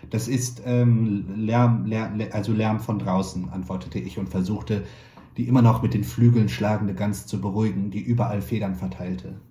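A man reading a short story aloud in a calm, measured way, lightly voicing the dialogue. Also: on the low side, roomy sound. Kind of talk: literary reading.

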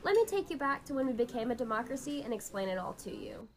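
A woman's voice: on the high side, very animated, fast. Woman acting as young female friend, patient explainer, scripted amateur radio drama, history lesson delivery. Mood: explanatory.

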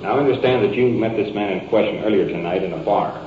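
Male police sergeant, deep, polite and procedural, recorded muffled in a reverberant room. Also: quick pace. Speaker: police sergeant taking witness statements. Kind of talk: formal, polite questioning. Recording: dull, echoey, faintly noisy field recording.

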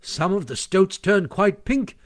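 A man voicing an excitable, boastful little mole in a storybook, telling his tale with eager glee. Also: sits high, measured pace, voice pushed up. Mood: boastful, excited. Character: chirpy, boastful, excitable small male mole.

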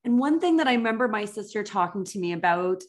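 A woman's voice: medium pitch, lively intonation, fast. Conversational woman hosting an interview. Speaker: interviewer, host.

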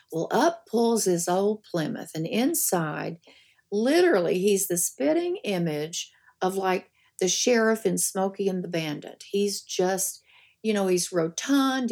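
An older woman with a gravelly, fry-tinged voice tells folksy stories as an interview guest. She talks in an animated, down-to-earth way at a medium pitch. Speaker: author and guest. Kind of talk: storytelling in conversation.

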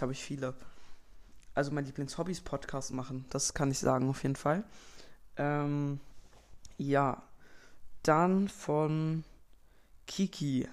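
A young teenage boy from Berlin hosting his own podcast, casually reading out and answering listener questions with lots of fillers and slang. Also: fairly high pitch. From Berlin, Germany. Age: young teen boy, entering eighth grade. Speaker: podcast host.

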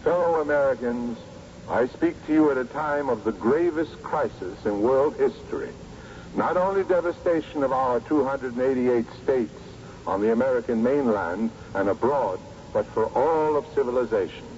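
Actor as a solemn, mellow-voiced American president. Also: male voice, mid-range voice, natural voice. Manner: oratorical, deliberate, grave. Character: solemn male American president addressing a doomed nation. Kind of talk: formal broadcast address in a radio drama. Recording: dull, noisy old radio recording.

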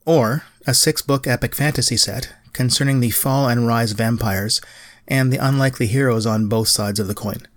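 A middle-aged man, narrating a solo podcast in a measured, lightly self-deprecating way. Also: medium pitch, dry recording. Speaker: podcast host, writer.

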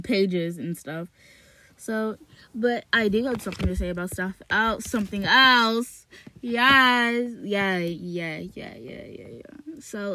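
A woman reading a novel aloud slowly on her podcast, then rambling in casual chat, repeating words with many fillers and restarts, recorded in a small closet. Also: medium pitch, pushed and bright, poor audio.

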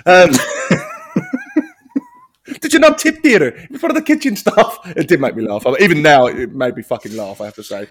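A man's voice: a little high, lively intonation, moderate pace, long pauses, breathy edge. A man in casual comedy chat, sweary and rambling, laughing often and restarting his sentences.

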